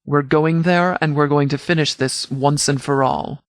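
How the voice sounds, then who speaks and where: A man voicing a stern military commander in a video game, speaking formally and with measured authority as he briefs. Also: on the high side, steady tone, dry recording, studio quality, lightly acted. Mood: grim, resolute. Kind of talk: scripted game dialogue, mission briefing.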